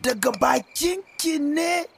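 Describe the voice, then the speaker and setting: A man with a Northern Nigerian accent, playing a boastful, touchy village elder who talks in an animated, exclamatory way in a radio drama. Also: extremely high pitch, takes it slowly, vocal fry, background noise, exaggerated voice. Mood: defensive. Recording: busy market crowd behind. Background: market crowd chatter.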